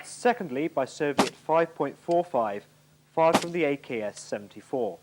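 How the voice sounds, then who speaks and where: A man's voice: on the high side, expressive, normal speed, noisy background. A British man giving formal, precise scripted narration for an instructional military training film from the 1980s.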